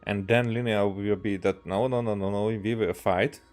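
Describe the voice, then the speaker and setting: A man from Hungary with a Hungarian accent, giving casual gameplay commentary as a streamer, musing aloud in a halting way. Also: fairly low pitch, fairly even intonation, a little mellow, soft background music. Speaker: game streamer.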